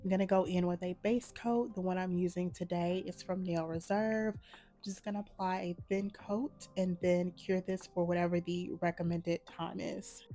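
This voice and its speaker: Slightly hoarse woman, mellow tutorial voice. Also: on the low side, moderate pace, faint music behind. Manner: relaxed, matter-of-fact instructions. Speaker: nail art channel host. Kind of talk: casual step-by-step tutorial narration.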